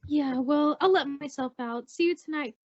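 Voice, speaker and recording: A woman's voice: high voice, moderate pace, creaky. A woman playing a wry, chummy, gossipy friend in an old-time radio sitcom, with a breezy, teasing manner. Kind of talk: 1940s radio sitcom acting. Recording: muffled old-time radio style recording.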